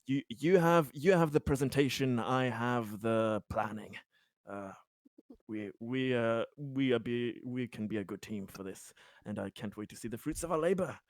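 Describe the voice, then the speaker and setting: A man's voice: mid-range voice, moderate pace, dry recording, clean studio sound. A man playing a tabletop game online, talking in a casual, improvised way.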